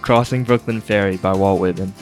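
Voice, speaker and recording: A man recites poetry in a fairly low voice with a flat, even melody. Soft guitar music plays behind him. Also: fairly quick. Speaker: poetry reader.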